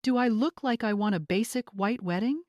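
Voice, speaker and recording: A woman's voice: fairly high pitch, normal speed, darkish tone, dry recording, dull audio, pitched above the performer's own voice, lightly acted. Woman acting a spoiled, sneering young bride. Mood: demanding, outraged. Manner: snapping, scornful, entitled.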